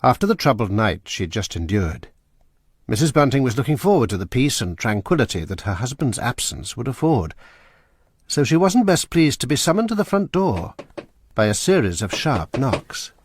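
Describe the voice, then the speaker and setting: A man narrating a radio drama in a measured, dramatic storytelling manner. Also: mid-range voice, very animated, husky, no echo. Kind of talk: scripted narration.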